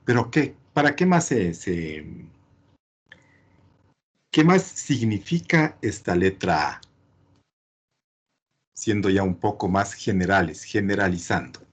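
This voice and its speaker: A man's voice: sits low, lively intonation, slow, pauses often, slightly rough. A man teaching a class, didactic and often adding short check questions. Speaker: chemistry teacher.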